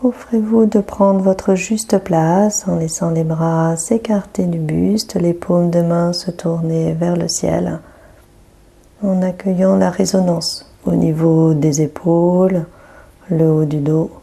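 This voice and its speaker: Very slow, mellow, breathy woman guiding relaxation. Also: fairly low pitch. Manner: calm, gentle, unhurried instruction with long pauses. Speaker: yoga nidra guide. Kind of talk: guided relaxation meditation.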